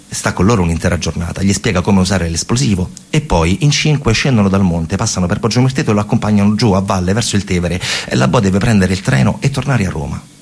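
A male stage storyteller delivers a dramatic monologue. His voice is gravelly and husky, fairly low, and he leans emphatically on repeated phrases. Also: darkish tone. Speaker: narrative theatre actor.

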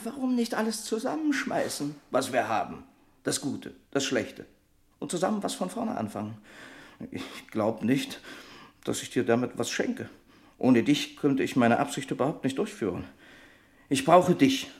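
Hearty trucker pal, medium pitch, highly animated, jovial, teasing, persuasive, vintage radio drama. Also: male voice, moderate pace. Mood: persuasive, earnest. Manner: jovial, teasing, drawing out his announcements. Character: hearty, persuasive trucker friend with big plans. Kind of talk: German radio crime drama acting, 1950s-80s.

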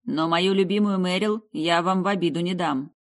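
A woman voicing a polite, erudite male necromancer in an audiobook, speaking in a measured, courteous way. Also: lowish voice, close to an everyday voice. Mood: protective.

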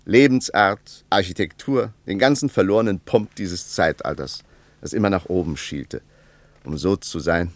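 An actor playing an opinionated middle-aged intellectual in a radio play, pointed and sardonic. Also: male voice, sits low, normal speed, no room sound. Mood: musing, amused.

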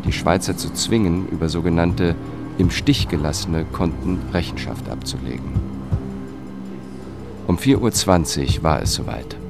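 An actor playing a dogged, tense male investigator who tells his own thriller in a sober, measured way in a radio drama. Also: deep voice, gravelly. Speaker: first-person narrator and lead character. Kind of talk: radio drama narration and dialogue. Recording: music under the voice.

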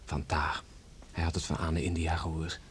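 An actor playing a kind, dutiful young village man in a radio play, speaking earnestly with a husky voice. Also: a bass voice, little pitch movement, moderate pace, a little hiss or hum. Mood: grave, gentle. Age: young adult.

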